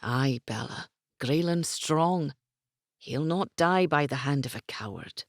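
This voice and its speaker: A woman voicing a brooding Highland warrior, speaking in a grave, hushed way. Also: expressive, takes it slowly, gravelly, no echo, studio quality, exaggerated voice.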